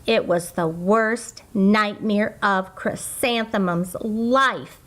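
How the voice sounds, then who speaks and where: A woman reading a children's story aloud in an expressive, deliberate, sing-song way. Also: medium pitch.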